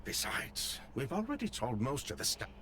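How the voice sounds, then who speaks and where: An actor playing a courteous but easily flustered male merchant in a video game, explaining himself politely and excitably. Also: on the low side, normal speed, slight background noise. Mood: resigned, explanatory. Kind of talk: voiced video game dialogue.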